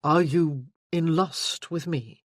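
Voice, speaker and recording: Narrator voicing a teasing gentleman suitor. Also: mid-range voice, slow, no room sound, clean studio sound, lightly acted. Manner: playful, teasing, then earnest. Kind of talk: audiobook character voicing.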